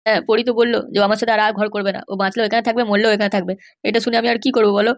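A woman's voice: mid-range voice, little pitch movement, racing, pitched below the performer's own voice, lightly acted. A woman voicing a worried, forlorn cartoon husband who whines helplessly about his missing wife. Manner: whining, helpless. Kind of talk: exaggerated cartoon dialogue.

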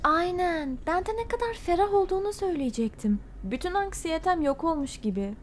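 A woman voicing a laid-back skeleton customer in an animated comedy, talking casually in slang. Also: very high-pitched, fairly quick, a little hiss or hum. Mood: serene, pleased. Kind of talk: animated comedy dub acting.

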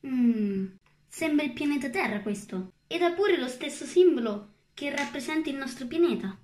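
A woman's voice: high voice, expressive, normal speed, darkish tone, reverberant. A woman voicing a small, naive young alien boy, timid and hesitant, in a children's cartoon. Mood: curious, puzzled. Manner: hesitant, innocent.

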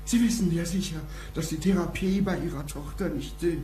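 Man playing a high-pitched, pompous clinic chief. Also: warm tone, roomy sound, soft background music, lightly acted. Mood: hesitant, guarded. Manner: formal, pompous, measured. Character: self-important, smooth male head doctor of private clinic. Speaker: actor in a radio play. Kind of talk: German radio drama acting.

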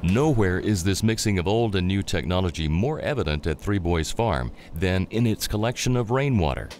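A man's voice: fairly low pitch, darkish tone, slight background noise. A man reading scripted promotional documentary narration as a narrator.

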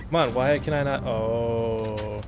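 A man's voice: mid-range voice, slow, noisy background. A young man chatting casually with friends while playing a shooter game as a streamer. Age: young adult. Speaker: gaming streamer. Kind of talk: casual gameplay chat with friends. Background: loud game gunfire and music.

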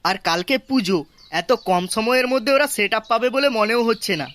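A man's voice: high-pitched, fairly quick, a bit bright. Guy doing eager young gossip from a village cartoon, west bengali accent, homemade dub. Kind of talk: amateur comic cartoon dub.

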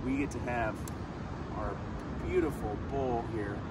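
Man, 40s, enthusiastic cooking vlog host, outdoors, distant camera mic, loud surf and ambience behind. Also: medium pitch, a little slow, heavy background noise. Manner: enthusiastic, chatty. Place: outdoors on a sandy beach. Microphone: camera a few feet away.

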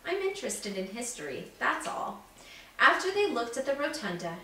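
A woman, a teacher, reading a children's chapter book aloud expressively and acting out the dialogue. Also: fairly high pitch. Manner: expressive storytelling, voicing dialogue. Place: living room at home. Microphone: far camera. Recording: roomy, distant microphone, noisy background.

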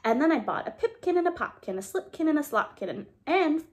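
A woman's voice: high voice, very animated, a little fast, pitched above the performer's own voice. A woman voicing a crowd of tiny, cheeky mice who sing and chant rhymes in twittering voices. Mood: sing-song. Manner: sing-song, twittering, rude.

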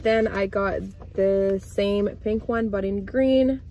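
A woman's voice: medium pitch, steady tone, slow pace, a crowd in the background. A woman in her twenties chatting casually as a vlogger, recorded on a phone at arm's length inside a car. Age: 20s. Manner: switches between English and Spanish. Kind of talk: casual vlog chat. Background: music, car sounds.

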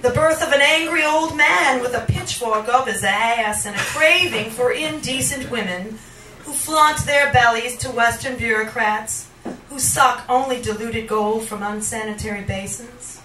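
A middle-aged woman reading poetry live in a theatrical, deliberate manner into a handheld microphone in a small bar room. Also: on the high side, bright, forceful tone. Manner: theatrical, slow and deliberate when reciting. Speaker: poet, featured reader. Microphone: handheld microphone, captured by a camera in the room. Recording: roomy, noisy bar recording from a distance.

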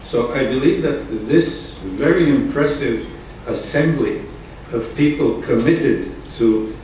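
A middle-aged man from Bulgaria, a parliament member, giving measured formal remarks into a table microphone in a conference room. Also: mid-range voice, darkish tone. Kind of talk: formal remarks at a public assembly. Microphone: table gooseneck microphone. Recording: echoey room from far off, noisy.

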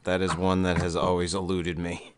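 A man's voice: deep voice, monotone, moderate pace, a little hiss or hum. A man co-hosting a casual podcast, blunt and profane, breaking into rants. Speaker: podcast co-host. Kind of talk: casual chat.

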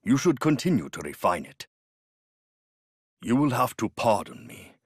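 A man playing a serene, wise old yoga master in a video game, speaking in a measured, solemn way. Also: on the low side, wildly swooping pitch, breathy edge, no echo, studio quality, full character voice. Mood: serene, approving.

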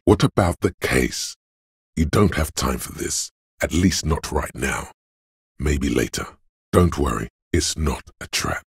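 A man voicing a game character, the inner voice of cold logic, husky and gravelly, speaking in a measured, cerebral, matter-of-fact way. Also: rumbling low, dry recording, studio quality, full character voice. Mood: analytical, reassuring. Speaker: video game voice actor. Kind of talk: scripted game narration of inner thoughts.

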